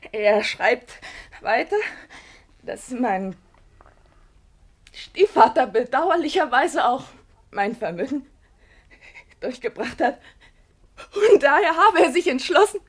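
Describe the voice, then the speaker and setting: A proud, wounded young gentlewoman in a radio drama. Her high voice swings widely in pitch, with bitter, volatile and theatrical delivery. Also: sing-song, takes it slowly, pauses often, full character voice. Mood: tense, scornful. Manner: theatrical, emotionally charged. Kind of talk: German radio drama acting.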